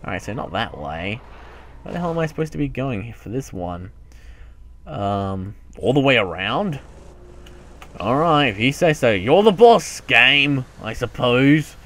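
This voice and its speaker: Male let's player, casual and rambling, mid-pitched, self-mocking, talks to himself while playing. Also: big pitch swings, a little slow, a bit bright, a little hiss or hum. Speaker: gaming YouTuber. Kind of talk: casual let's play commentary.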